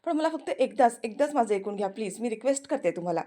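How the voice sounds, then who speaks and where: A woman voicing a gentle, respectful young woman who pleads politely. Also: a little high, animated, fast, lightly acted. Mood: pleading.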